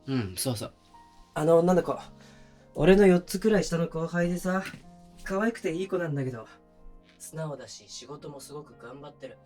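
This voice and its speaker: A man acting as a considerate but oblivious young boyfriend in an intimate audio drama, talking casually and softly with pauses. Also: a little high, expressive, soft background music, natural voice. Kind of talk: intimate situation-voice audio drama, naturalistic one-sided dialogue.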